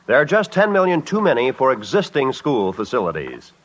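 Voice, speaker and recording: A man's voice: mid-range voice, huge pitch swings, fairly quick. An American man announcing in formal, emphatic vintage radio style, reading from a script. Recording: dull old radio recording.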